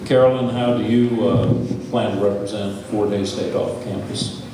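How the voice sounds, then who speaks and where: Male debate moderator, brisk, lowish voice, far off in a reverberant hall. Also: steady tone. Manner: brisk, businesslike. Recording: echoey hall from far off, background noise.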